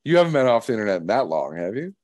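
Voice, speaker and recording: A man's voice: fairly low pitch, sing-song, quick pace, a little mellow, dry recording, clean studio sound. A man in his thirties hosting a podcast, playful and teasing, laughing often. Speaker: podcast host. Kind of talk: casual chat.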